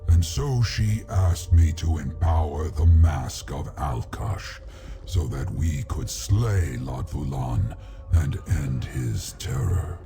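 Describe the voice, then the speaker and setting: Fantasy game dragon, male, ancient and grave: very low, slow, portentous delivery over music. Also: animated, creaky, full character voice. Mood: regretful, grave. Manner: slow, portentous, archaic phrasing. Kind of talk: fantasy video game acting.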